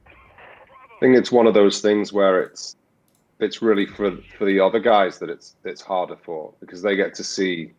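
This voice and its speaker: A man with a very deep, low voice speaks as an interview guest. He talks thoughtfully, restarting his sentences and stopping to search for words. The sound is muffled and lo-fi. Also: fairly even intonation, normal speed, a little mellow. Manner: thoughtful, many restarts and fillers. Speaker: voice actor guest. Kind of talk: reflective interview talk. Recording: muffled lo-fi audio.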